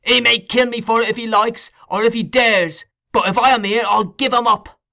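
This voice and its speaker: A man's voice: extremely high pitch, fairly even intonation, moderate pace, creaky, sharp tone, voice pushed up, heavily acted. A man reading aloud, voicing a terrified boy who screams for help in a frantic rage.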